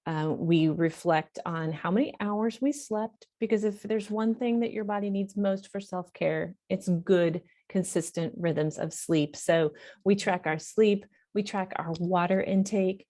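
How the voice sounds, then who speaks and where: A woman's voice: medium pitch, a little slow, few pauses, warm tone. A woman counselor talking earnestly in a podcast interview, repeating her main points for emphasis. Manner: talks in long flowing runs with fillers. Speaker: professional counselor.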